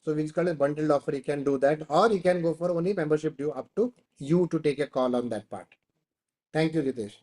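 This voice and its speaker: A man's voice: fairly high pitch, fast talker, darkish tone. A man with an Indian accent hosting an online class, explaining and answering questions, often repeating himself. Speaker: host of an online training class. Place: online video call.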